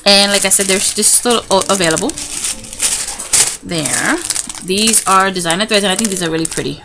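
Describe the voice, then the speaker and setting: A chatty, excitable woman shows her craft haul to a camera at home, talking at an ordinary middle pitch. A clear household hum runs behind her voice, and the recording sounds like a simple home setup. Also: fairly quick. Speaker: craft vlogger. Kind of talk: casual show-and-tell unboxing. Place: home room by a window. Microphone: camera in front of her, hands in frame. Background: TV and children's noise.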